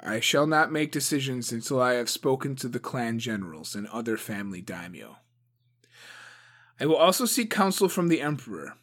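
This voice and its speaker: A man voicing a calm, dutiful young male clan leader who speaks in a measured, grave way. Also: mid-range voice. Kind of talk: audiobook character voice.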